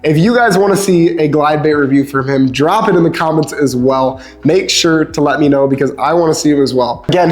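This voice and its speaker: A young man with a stuffed-up nose, casually reviewing fishing lures in a relaxed, chatty way with lots of ums. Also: a little high. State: stuffed up, sniffly. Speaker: lure reviewer.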